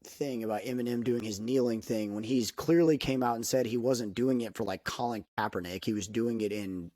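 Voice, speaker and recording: A man of medium pitch co-hosting a casual podcast, speaking with opinionated emphasis. His voice jumps upward when he gets worked up. The sound is dry and close. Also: fairly quick, warm tone, dry recording. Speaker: podcast co-host. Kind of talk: casual chat.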